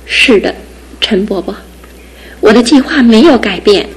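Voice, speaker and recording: An actress playing a devoted young fiancée in a studio radio drama, speaking earnestly and with soft insistence. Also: female voice, on the high side, animated, measured pace, a little hiss or hum, poor audio. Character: devoted young fiancée, gentle but resolute.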